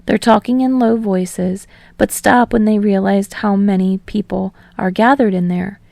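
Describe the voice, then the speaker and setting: A woman's voice: medium pitch, fairly even intonation, unhurried, vocal fry, warm tone, no room sound. A woman reading fiction aloud as an audiobook narrator, in a scripted storytelling style.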